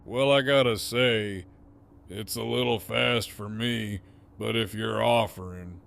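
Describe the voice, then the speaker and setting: A man acting a cocky, menacing gang leader in an audio drama, mocking and amused, with a swaggering drawl and gravelly voice. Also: fairly low pitch, slow pace, a bit bright, exaggerated voice. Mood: amused taunting. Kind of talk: full-cast fan audio drama acting.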